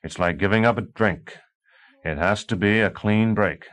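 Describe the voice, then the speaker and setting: A man's voice: fairly low pitch, normal speed, fairly bright tone, pitched above the performer's own voice, lightly acted. A man reading an audiobook, voicing an exasperated professor husband who speaks firmly and impatiently. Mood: stern. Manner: firm, impatient.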